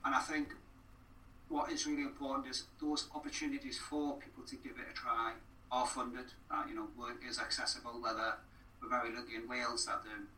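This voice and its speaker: A man with a very bright, sharp voice gives earnest interview answers. He often repeats phrases for emphasis. The recording is roomy and distant from the mic. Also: mid-range voice, level tone, brisk, a little hiss or hum. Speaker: podcast guest. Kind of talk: conversational interview.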